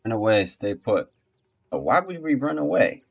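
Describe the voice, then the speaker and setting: A man's voice: mid-range voice, big pitch swings, normal speed, vocal fry, breathy edge, dry recording, soft background music. A man giving casual commentary as he plays a video game.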